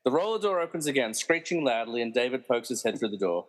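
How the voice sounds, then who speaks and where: A man's voice: medium pitch, very animated, fast, long reverb tail. A man narrating a scripted radio play as a dramatic storyteller with wry asides.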